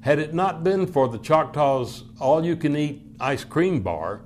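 A man reading scripted documentary narration in a measured, formal way. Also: a little high, lively intonation, a little mellow, slight background noise.

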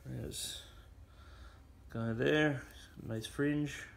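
Slow, gravelly man with noisy background. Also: mid-range voice, fairly even intonation. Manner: unhurried, rambling, with frequent fillers. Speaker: toy collector reviewing a vintage building set. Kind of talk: casual show-and-tell commentary. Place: cluttered indoor toy room. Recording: noisy home video.

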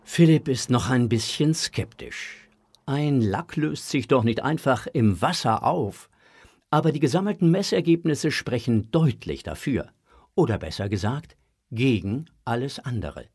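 Lively male storyteller for children. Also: mid-range voice, a little slow, slightly rough. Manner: dramatic storytelling. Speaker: narrator. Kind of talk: scripted narration for a children's audio drama.

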